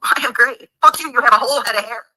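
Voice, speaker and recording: A fast, bright-edged woman's voice with a husky grain, talking in a bitter, sarcastic rant. Her delivery is quick and profane, full of cutting remarks. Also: on the high side. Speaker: livestreamer in a played clip. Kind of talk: ranting livestream monologue.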